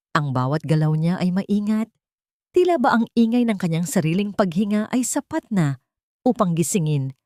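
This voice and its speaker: Female audiobook narrator, slightly low register, deliberate scripted drama read, very dry recording. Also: darkish tone, no echo.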